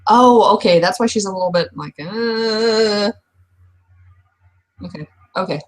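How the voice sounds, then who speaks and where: A woman's voice: medium pitch, a little slow. A woman in a casual tabletop roleplaying session, speaking conversationally both in character and as game master.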